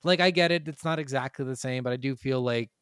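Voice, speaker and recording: A man's voice: medium pitch, fast talker, fairly bright tone, clean tone, dry recording, studio quality. A man co-hosting a podcast, chatting casually about games in a blunt, profane way. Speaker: podcast co-host. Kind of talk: casual video game chat.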